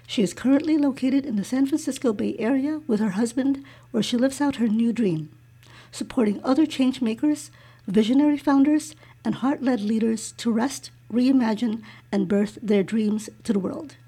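Gravelly, mellow-voiced woman host. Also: on the high side, moderate pace. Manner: soft, hesitant questions with many fillers. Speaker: podcast host. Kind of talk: reading a guest introduction, then casual interview.

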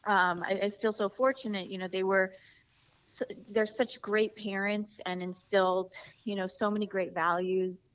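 A woman's voice: medium pitch, steady tone, normal speed, muffled recording. A woman from New Jersey, an interview guest, talking in a chatty way with many fillers and restarts. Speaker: guest, founder of a design studio. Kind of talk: casual interview chat.

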